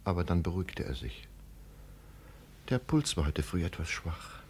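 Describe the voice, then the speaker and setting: A matter-of-fact doctor in a radio play. His voice is low and deep, his delivery measured and clinical. Light noise sits in the background. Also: big pitch swings. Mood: calm. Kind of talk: German radio play acting.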